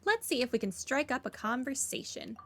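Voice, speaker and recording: A woman's voice: high voice, big pitch swings, fairly quick, slight background noise. A woman doing casual video game playthrough commentary, reading some dialogue aloud.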